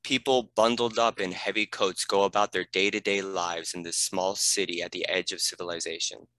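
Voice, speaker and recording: A man's voice: sits low, steady tone, normal speed, sharp tone, dry recording, low-quality recording. A man reading scripted stage directions as a narrator.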